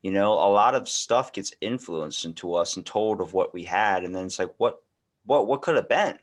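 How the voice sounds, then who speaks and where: A man's voice: fairly low pitch, lively intonation, moderate pace. An American man hosting a podcast, talking in a casual, rambling conversational way. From United States. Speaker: podcast host.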